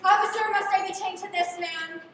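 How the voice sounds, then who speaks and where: A woman's voice: extremely high pitch, steady tone, brisk, a bit bright. A young woman playing a skeptical, headstrong young lady in a live radio play, arch and indignant. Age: young adult. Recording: echoey, noisy livestream, distant mic.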